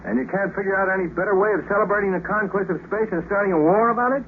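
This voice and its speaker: An actor playing a brash, wry American astronaut in a 1950s radio drama, breezy and sardonic in an American accent. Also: male voice, high-pitched, fairly even intonation, very fast, close to an everyday voice. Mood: wry, defiant. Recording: noisy phone-like 1950s radio recording.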